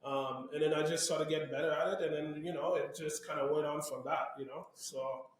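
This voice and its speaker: A man from Haiti, a guest chef, talking in relaxed casual chat. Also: fairly high pitch, brisk. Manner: relaxed, laid-back, frequent "you know". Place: bar under construction. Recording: very echoey room, away from mic.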